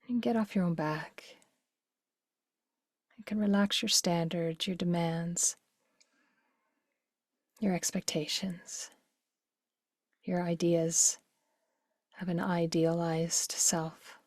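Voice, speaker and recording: A woman with a fairly low voice guides a meditation in a very dry recording. Her delivery is gentle and soothing, taking its time. Phrase endings soften into breath. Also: fairly even intonation, slow, long pauses, dry recording.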